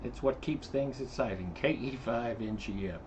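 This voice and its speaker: A man's voice: medium pitch, moderate pace. A man, a ham radio operator chatting in an easygoing, folksy way. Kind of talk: radio net chat. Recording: dull radio audio with clear hiss.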